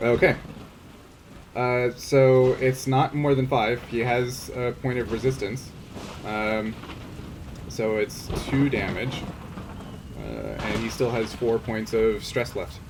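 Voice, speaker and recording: A man's voice: mid-range voice, takes it slowly, long pauses. A man acting as game master, improvising narration and rulings in a casual, hesitant way as he thinks aloud. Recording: noisy, rain ambience behind.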